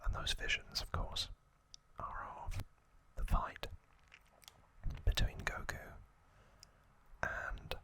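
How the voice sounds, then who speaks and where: Slow, bright-whispering male ASMR host. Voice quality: whispered, breathy, unvoiced. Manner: slow, hushed, short halting phrases. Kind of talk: whispered ASMR trivia narration.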